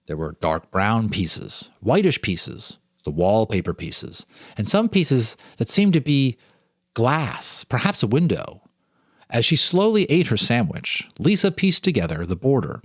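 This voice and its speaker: A man's voice: mid-range voice, very animated, a little slow, no room sound, clean studio sound. A man reading a ghost story aloud as a storyteller, with a theatrical, suspenseful delivery. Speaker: storyteller, host.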